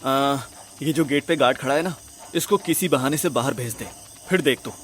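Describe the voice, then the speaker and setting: A man's voice: medium pitch, normal speed, a bit bright, slight background noise, close to an everyday voice. A man playing a brash, swaggering, foul-mouthed young sleuth in an audio drama, talking in bossy slang. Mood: scheming. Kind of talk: acted audio drama dialogue.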